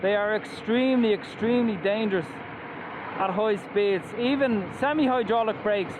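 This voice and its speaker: A man's voice: sits high, normal speed. A man with an Irish accent chatting enthusiastically while riding an electric scooter outdoors, wearing a helmet and mask. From Ireland. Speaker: scooter reviewer. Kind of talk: casual ride-along vlog commentary. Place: outdoors on a moving scooter by roads. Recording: outdoor road noise. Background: traffic and wind.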